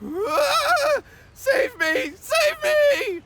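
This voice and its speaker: A man's voice: very high voice, very animated, drawn-out words, pushed and bright, shouting, a little hiss or hum, full character voice. A man playing a meek, anxious, easily frightened young man in a video game. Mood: terrified. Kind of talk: scripted video game voice acting.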